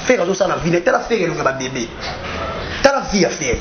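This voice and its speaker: A young Congolese man argues casually and forcefully, repeating his points. His high voice swings widely in pitch. The sound is roomy, in a casual interview setting. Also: big pitch swings, fast talker, lo-fi sound, over a noisy crowd. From Democratic Republic of the Congo. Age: young adult. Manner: emphatic, repetitive, argumentative. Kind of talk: animated casual debate.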